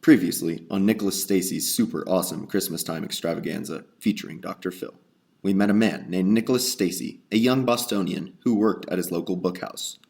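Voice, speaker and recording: A man's voice: low-pitched, creaky, darkish tone. A man reading scripted recap narration as the narrator of a radio drama.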